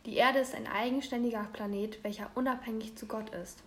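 A woman's voice: a little high, fairly even intonation, a little fast. A woman in her twenties, a theology student, stating her views matter-of-factly. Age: 20s.

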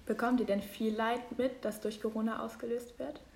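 A woman's voice: fairly high pitch, level tone, moderate pace, a little mellow, a little hiss or hum. Woman hosting a scripted podcast. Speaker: podcast host.

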